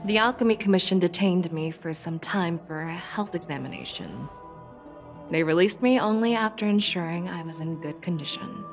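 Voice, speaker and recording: A woman voicing a resolute young warrior general with fox ears in game dialogue, speaking in a firm, measured way. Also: fairly low pitch, soft background music, natural voice.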